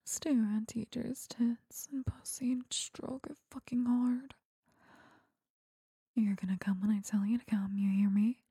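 A woman whispering a commanding audio roleplay as a domineering female teacher, in a breathy voice. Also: a little high, unhurried, dark tone, no echo, studio quality.

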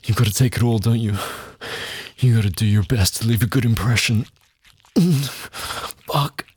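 A man's voice: steady tone, fairly quick, darkish tone, breathy edge, no echo. A man performing an adult audio roleplay in a dominant, taunting tone, with heavy breaths and muttered exclamations between lines. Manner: dominant and taunting, low intimate delivery.

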